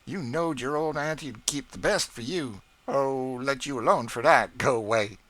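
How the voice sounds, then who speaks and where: A man's voice: mid-range voice, unhurried, fairly bright tone, no room sound, voice pushed up, close to an everyday voice. A man voicing a bossy, proud older woman cook who scolds and boasts in thick folksy dialect. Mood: fussing doting. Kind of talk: audiobook character voice.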